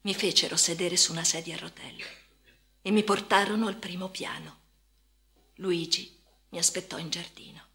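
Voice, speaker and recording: A woman's voice: fairly low pitch, steady tone, normal speed, pauses often, bright, forceful tone. An actress playing a haunted woman patient in a first-person radio drama monologue, speaking intimately and often dropping toward a whisper. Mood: hushed, uneasy. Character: haunted woman patient recounting institutional life. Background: music.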